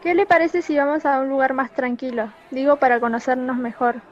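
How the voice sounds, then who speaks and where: Radio play lead: scheming young woman, female actor, high pitch, slightly dull recording. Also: steady tone, brisk, some chatter behind, close to an everyday voice. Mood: coy. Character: scheming young woman secretly bent on avenging her father. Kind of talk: amateur radio play acting.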